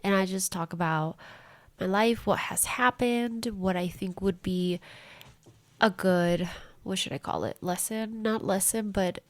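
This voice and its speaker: A woman's voice: mid-range voice, a little slow. A young woman with a congested voice, rambling through a casual diary-style podcast from a small room at home. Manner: rambling, chatty, self-interrupting. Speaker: podcast host.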